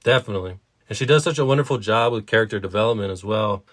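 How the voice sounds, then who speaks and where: A man with a fairly low voice speaks as a podcast co-host. He discusses writing conversationally, explaining his thoughts in a reflective manner. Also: rapid-fire.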